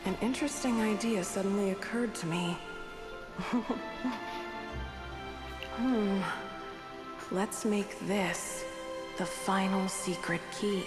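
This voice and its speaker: A woman's voice: mid-range voice, slow, pauses often, lightly acted. A woman playing a coldly amused, scheming villain in a video game, theatrically savoring her words. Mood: scheming amusement. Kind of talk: video game character acting. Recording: loud music under the voice.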